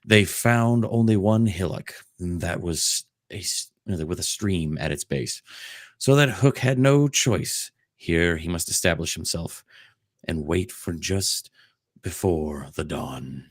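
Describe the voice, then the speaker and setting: A man's voice: low voice, slow, no room sound, clean studio sound. A man hosting a comedic dramatic reading of a storybook, in a jokey manner with asides. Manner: jokey, breaking off for ad-libs and laughter. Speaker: host doing a dramatic reading.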